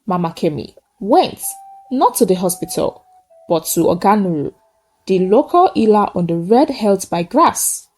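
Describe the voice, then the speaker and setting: A woman's voice: mid-range voice, very animated, darkish tone. Woman telling a folktale, super swoopy dramatic delivery, music playing under her. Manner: dramatic, short measured phrases. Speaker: storyteller. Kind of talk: scripted folktale narration.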